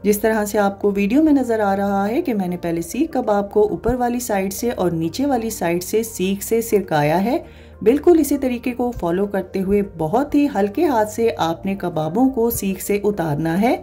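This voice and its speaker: A woman hosting a cooking channel, calmly explaining each recipe step to viewers in a voice-over. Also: medium pitch, normal speed, soft background music. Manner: calm step-by-step explaining, addresses viewers directly. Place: voice-over, not recorded in the pictured kitchen.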